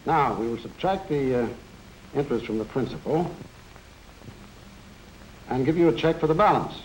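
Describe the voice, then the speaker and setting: A courteous, methodical male banker played by an actor from a 1940s educational film. His mid-pitched voice moves up and down freely while staying formal and businesslike. A faint layer of old soundtrack noise sits over him. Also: lively intonation, normal speed, darkish tone, natural voice, poor audio. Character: courteous, methodical male small-town bank loan officer.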